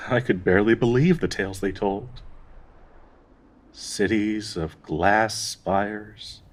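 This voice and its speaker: Man voicing a husky, slow-spoken, grieving dryad. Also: lowish voice. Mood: nostalgic wonder. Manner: tender, halting, wistful, pleading for reassurance. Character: gentle, grieving male dryad devoted to a sick companion. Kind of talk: intimate dramatic audio roleplay monologue.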